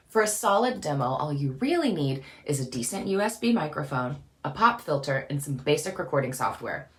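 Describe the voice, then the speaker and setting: Low-voiced upbeat woman coaching voice-over. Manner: upbeat, chatty, lively emphasis. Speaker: voice-over coach and channel host. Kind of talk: casual instructional talk to camera.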